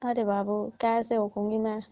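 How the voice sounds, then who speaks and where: Coaxing, high-voiced auntie on a muffled call played by a woman. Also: flat delivery, moderate pace, mellow, no echo, close to an everyday voice. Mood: pleading. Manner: coaxing, teasing, pleading. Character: coaxing, flirtatious middle-aged auntie on a phone call. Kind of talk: staged casual phone conversation. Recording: muffled lo-fi phone call recording.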